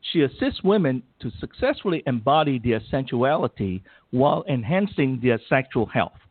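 A man's voice: medium pitch, dry recording. A man hosting a talk radio show, formally introducing and welcoming his guest. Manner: formal, measured and polite. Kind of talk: scripted guest introduction and interview. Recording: thin phone-line sound, dry.

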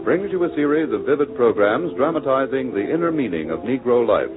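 A man's voice: mid-range voice, big pitch swings, brisk. An American man announcing a scripted 1940s radio program in a formal, grand, declamatory style. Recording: narrow 1940s radio recording, music behind.

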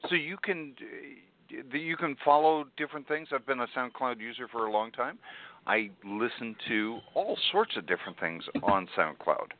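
A man's voice: mid-range voice, expressive, normal speed. A man chatting casually as a podcast co-host, explaining tools and tips in an informal way. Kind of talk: casual tech tips chat. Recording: dry, phone-like narrow-band audio.

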